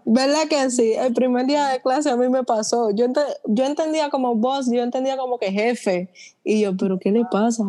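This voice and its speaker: A young Puerto Rican woman chatting casually in a group, with a Puerto Rican accent. Her voice sits a little high and sounds mellow and soft. She tells her stories with a laugh never far away. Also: moderate pace. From Puerto Rico. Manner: laughing, lively storytelling. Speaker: podcast guest, student.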